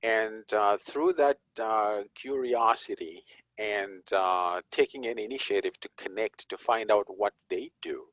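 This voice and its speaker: A man from Zimbabwe, a police chaplain and guest, talking in an unhurried, reflective way with frequent chuckles. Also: on the low side, a bit bright, dry recording. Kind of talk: conversational interview.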